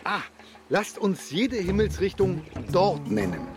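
A man's voice: high voice, sing-song, takes it slowly, loud music behind the voice, heavily acted. An actor playing a timid, hesitant foster father who stammers and evades in a children's radio play. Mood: silly, playful.

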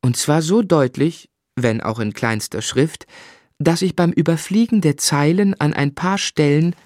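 An actor playing a reflective storyteller who recalls his childhood, in measured literary narration for a radio drama. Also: fairly high pitch, a little mellow, dry recording, clean studio sound, lightly acted.

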